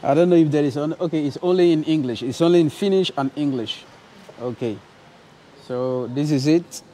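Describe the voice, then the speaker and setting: A man's voice: on the high side, normal speed, dark tone. A young man from Nigeria, a travel vlogger talking casually to an arm's-length camera at a train station. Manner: chatty, friendly, thinks aloud to camera. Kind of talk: casual travel vlog narration. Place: airport train station and train carriage, indoors. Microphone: action camera held at arm's length.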